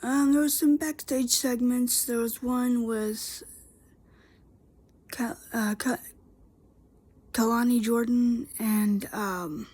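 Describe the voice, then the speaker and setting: Congested, very breathy woman vlogger. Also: on the high side, darkish tone. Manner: chatty, rambling, many fillers and restarts. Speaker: YouTube wrestling reviewer. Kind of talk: casual solo show recap.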